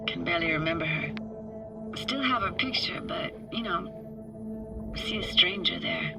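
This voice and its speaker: A woman's voice: fairly low pitch, animated, unhurried. An actress playing a nostalgic, playfully wry young techie woman in a video game, talking in casual, clipped slang. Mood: wistful. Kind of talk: naturalistic video game acting. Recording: music and underwater sounds behind.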